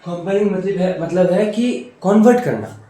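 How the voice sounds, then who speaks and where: A man's voice: high voice, expressive, normal speed, warm tone. Echoey, distant male programming tutor. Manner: repetitive, explains step by step with rhetorical questions. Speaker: programming teacher. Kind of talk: informal spoken tutorial explanation. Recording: very echoey room, distant mic, faint noise.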